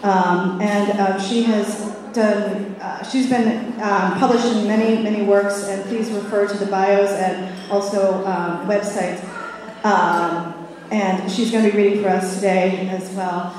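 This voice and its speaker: A woman's voice: mid-range voice, fairly even intonation, measured pace, a little mellow. A woman introducing speakers and moderating a live panel, hesitant with many fillers, on stage in a large auditorium. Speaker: event moderator. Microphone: stand mic at podium, far camera. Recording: echoey hall from far off.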